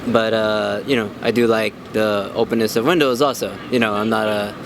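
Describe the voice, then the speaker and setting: Man answering haltingly amid street noise. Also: on the low side, fairly even intonation. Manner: hesitant, halting delivery. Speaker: member of the public being interviewed. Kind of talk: casual street interview answer. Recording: noisy outdoor urban street with traffic.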